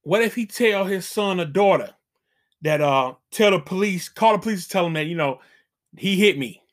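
A man's voice: high-pitched, expressive, normal speed. A middle-aged man hosting a channel, giving casual dating advice as an emphatic, preachy warning full of slang.

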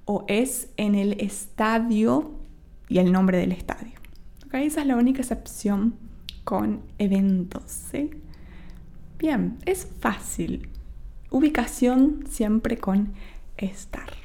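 A woman's voice: mid-range voice, gravelly, mellow. A young woman from Argentina with an Argentine accent, teaching a podcast Spanish lesson and checking in with "¿sí?" after each point. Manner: explains slowly, with frequent checking "¿sí?" and "¿ok?".

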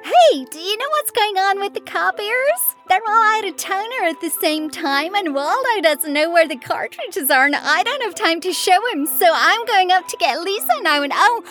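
An actress playing a chirpy office worker who chatters breathlessly without pausing between sentences, in a campy audio drama. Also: female voice, very high voice, animated, bright, forceful tone, faint music behind, exaggerated voice. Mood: perky.

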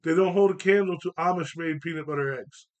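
A man's voice: a little high, a little fast, dull audio. A man hosting a podcast, leading a casual, jokey chat with friends. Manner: jokey and upbeat, leads the talk. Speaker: podcast host.

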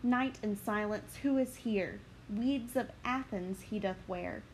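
A woman voicing a mischievous male forest sprite in a slow, rhythmic dramatic verse reading. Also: medium pitch, a little mellow, a little hiss or hum, close to an everyday voice, rough recording. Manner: measured, rhythmic verse delivery.

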